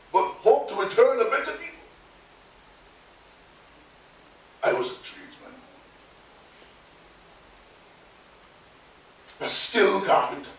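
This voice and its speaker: A man's voice: high voice, heavy reverb, distant mic, lightly acted, low-quality recording. An actor playing a man recalling his arrival in 1950s London, telling it theatrically with laughing asides. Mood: wistful. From Barbados. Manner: storytelling, animated, laughing asides. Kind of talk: theatrical monologue.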